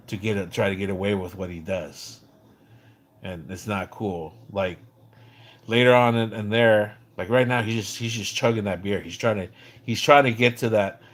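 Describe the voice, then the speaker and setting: A man livestreaming in a casual, slangy way, rambling conversationally as he tells stories about people. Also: fairly low pitch. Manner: acts out quoted dialogue when recounting. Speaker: live streamer. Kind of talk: casual livestream chat about another streamer.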